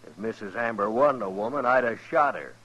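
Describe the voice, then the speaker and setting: An actor playing a hard, vengeful cattle rancher, blunt and demanding, with a rural American West accent in a 1950s radio western. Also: male voice, lowish voice, lively intonation, a little fast, fairly bright tone. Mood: angry, demanding. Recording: dull old radio recording.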